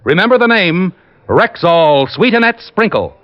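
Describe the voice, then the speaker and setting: A man's voice: high-pitched, very animated, a little slow. An American man reading scripted announcements and adverts in 1950s radio style, on a muffled old recording with music behind. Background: prominent music.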